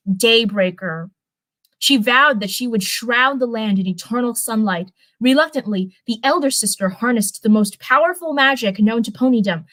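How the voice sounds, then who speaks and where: A woman's voice: fairly high pitch, fairly bright tone, poor audio. A young woman hosting a livestream, moving between reading a story aloud and rambling, informal chat in which she often corrects herself. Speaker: livestream host reading fan fiction.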